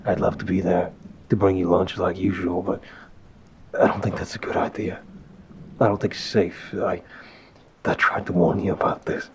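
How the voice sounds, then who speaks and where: A man playing a soft-spoken, apologetic mafia boss boyfriend in an intimate ASMR roleplay, hesitant and gentle, with a low, husky, breathy voice. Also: flat delivery, a little hiss or hum, close to an everyday voice. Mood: pleading, sorry. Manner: hesitant, gentle, sighing pauses. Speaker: audio roleplay performer. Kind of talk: ASMR audio roleplay, one-sided intimate phone call.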